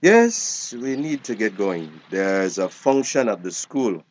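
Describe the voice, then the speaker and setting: A man's voice: fairly low pitch, big pitch swings, takes it slowly, exaggerated voice. An actor playing a weary, bitter old grandfather in a screen drama, with a Belizean accent. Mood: hurried. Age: older.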